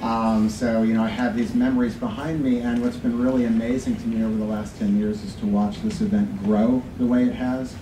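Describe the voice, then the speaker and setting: A man's voice: fairly low pitch, steady tone, a little fast, hardly any pauses, recorded from a distance. A middle-aged man, a department chair, giving an informal, reflective speech to an audience. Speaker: college English department chair. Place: college classroom at a podium. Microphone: distant camera. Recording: echoey room from afar, audience noise. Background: audience and room noise.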